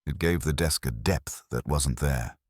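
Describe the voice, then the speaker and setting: Very deep male storyteller, dry and wry. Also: a little slow, dry recording. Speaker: audiobook narrator. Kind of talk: scripted first-person detective story narration.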